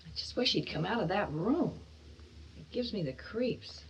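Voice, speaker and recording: Heard at some distance over clear noise, a middle-aged mother played by an actress speaks in a radio play. She is an anxious, conciliatory widow with a mid-pitched voice. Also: lively intonation, normal speed, close to an everyday voice. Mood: worried. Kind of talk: radio drama acting.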